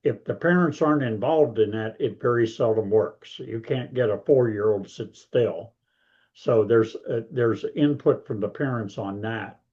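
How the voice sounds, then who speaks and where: A man's voice: mid-range voice, measured pace, lo-fi sound. An older man, a grandparent, talking casually as an author guest in an interview, in a big voice with a folksy, rambling manner.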